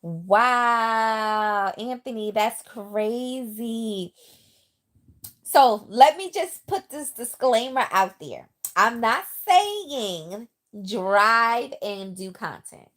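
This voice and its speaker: A woman's voice: a little high, extremely slow. Chatty Bronx woman with a Southern touch. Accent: Bronx New York with some Southern. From the Bronx, New York. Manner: chatty, lively, talks straight to viewers. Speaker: livestream host reading comments. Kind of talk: casual livestream chat. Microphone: phone.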